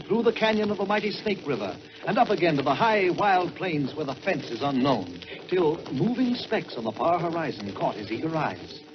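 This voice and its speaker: An American man narrating in a dramatic 1940s radio style, with measured, theatrical delivery and drawn-out words. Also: sits high. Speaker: storyteller and radio host. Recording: dull vintage 1940s radio, music behind.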